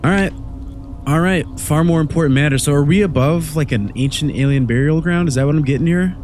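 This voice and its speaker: A man's voice: on the high side, fairly quick, darkish tone, soft background music. Stuffy-voiced young man gaming. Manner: rambling, easygoing, chatty. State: stuffy from a cold. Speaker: gaming channel host. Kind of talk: casual let's play commentary. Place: home room at night.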